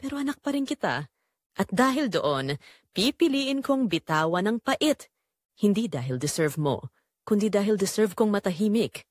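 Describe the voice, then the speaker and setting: A woman with a Filipino accent playing a betrayed middle-aged mother, telling her story solemnly and deliberately in an audio drama. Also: medium pitch, big pitch swings, dry recording, clean studio sound. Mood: hurt, resolute. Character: betrayed middle-aged mother, wounded but quietly resolute. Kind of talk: dramatic first-person audio story narration.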